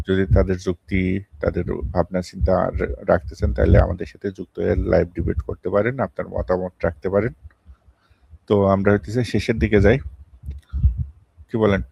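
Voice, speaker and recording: Very creaky, low-voiced male host. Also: flat delivery, a little fast, long pauses, dark tone, highs cut off. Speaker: live stream host. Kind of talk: informal live show hosting.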